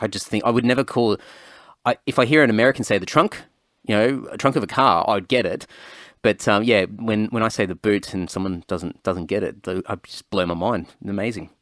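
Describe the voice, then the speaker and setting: A man's voice: lowish voice, dry recording, clean studio sound. A man with an Australian accent hosting a podcast, chatting casually and rambling with frequent restarts. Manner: relaxed, rambling, many restarts and fillers. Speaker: podcast host. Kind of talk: casual interview chat.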